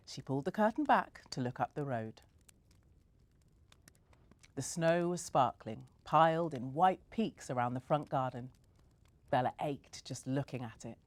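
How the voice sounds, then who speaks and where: Low-voiced expressive woman reading a story. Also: measured pace, pauses often, dry recording. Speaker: reader.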